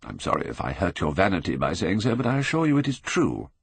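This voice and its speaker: Casting: male narrator playing jaded British lord, older, epigrammatic, low creaky voice, elastic intonation. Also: huge pitch swings, fast talker, no echo, exaggerated voice. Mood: languidly amused. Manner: drawling, epigrammatic, blasé. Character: languid, witty, cynical Victorian aristocrat, older gentleman.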